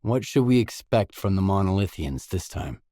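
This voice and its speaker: A man's voice: sits low, expressive, normal speed, dark tone, no room sound, clean studio sound. A man voicing a wary, blunt male loner in a video game, speaking in terse, matter-of-fact lines and questions. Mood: guarded, businesslike.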